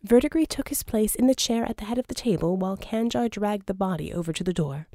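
A woman narrates an audiobook in a soft, mellow voice at a medium pitch. The sound is close and dry, and the delivery is scripted storytelling. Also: fast, no room sound.

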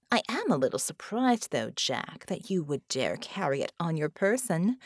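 A seductive female lamia demon, as a woman narrator plays her, with a silky, hissing menace. Her pitch swoops widely as she toys with her words, and the recording is dry and close. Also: darkish tone, dry recording.

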